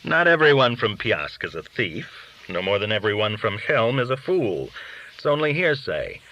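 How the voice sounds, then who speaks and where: A man's voice: on the low side, very animated, moderate pace, pushed and bright, lo-fi sound, a little hiss or hum, exaggerated voice. A man reading an audiobook, voicing a worldly, cynical, boastful underworld dealer who talks in glib, argumentative rhetorical questions. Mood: glib, breezy. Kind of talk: audiobook character dialogue.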